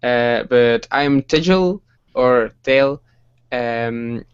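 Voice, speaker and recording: A man in his twenties from Belgium hosting a casual group livestream, relaxed and halting with many fillers and soft laughs. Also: mid-range voice, smooth, muffled recording. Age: 20s. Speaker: livestream host.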